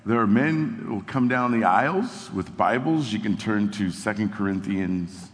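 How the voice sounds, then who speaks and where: A man's voice: lowish voice, animated, normal speed, breathy edge. A young man, a youth pastor, giving a casual sermon and reading scripture aloud into an amplified microphone in a church. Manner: casual and self-deprecating, reads scripture with rising emphasis. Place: church hall with amplified sound. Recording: long hall reverb.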